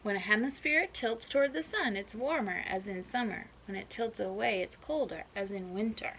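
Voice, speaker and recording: A woman's voice: mid-range voice, normal speed, a bit bright, slight background noise, poor audio. A woman teacher reading a children's picture book aloud, explaining things and checking in with young listeners.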